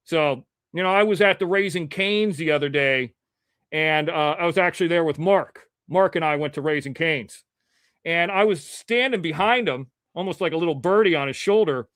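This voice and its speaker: A man from Ohio hosting a live show, chatting casually and reading the chat, rambling and swearing now and then in clean, crisp diction. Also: a little high, normal speed, fairly bright tone, dry recording, studio quality.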